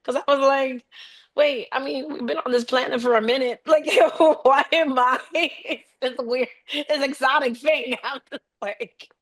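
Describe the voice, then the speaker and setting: A woman casually telling stories in conversation, animated and often giggling as she talks. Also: high-pitched, unhurried. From raised by a Haitian family. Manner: animated, giggly, laughs often while talking. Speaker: interview guest, bike traveler.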